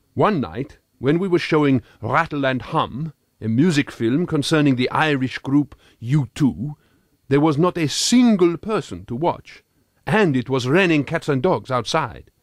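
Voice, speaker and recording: A man's voice: medium pitch, no echo, studio quality, lightly acted. A man voicing an earnest, pompous thirty-eight-year-old Frenchman with a French accent, reading a comic audiobook diary in formal, quaintly wordy phrases.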